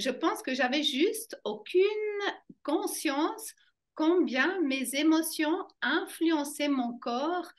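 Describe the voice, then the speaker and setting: Woman, slightly high voice, animated, conversational personal account. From German-speaking Switzerland. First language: German. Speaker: interview guest, fascia trainer.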